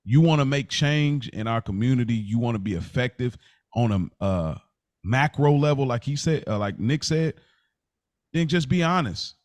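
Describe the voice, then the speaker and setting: A man's voice: mid-range voice, expressive, moderate pace, a little mellow, no room sound. A man hosting a casual podcast conversation, speaking in an informal, slangy way and repeating phrases for emphasis. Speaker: podcast host.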